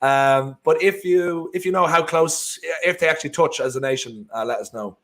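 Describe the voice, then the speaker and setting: A man's voice: fairly high pitch, normal speed, a bit bright. A man with an Irish accent co-hosting a live football watchalong, chatting casually and rambling with frequent restarts. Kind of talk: casual live match chat.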